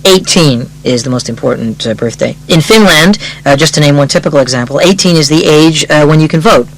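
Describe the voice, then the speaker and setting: A man's voice: on the high side, brisk, rough recording. A man playing a chatty newspaper columnist who explains things hesitantly as a radio guest. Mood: chatty, informative. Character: affable male newspaper columnist explaining customs. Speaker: studio guest, birthday columnist. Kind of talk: acted radio interview for an English lesson.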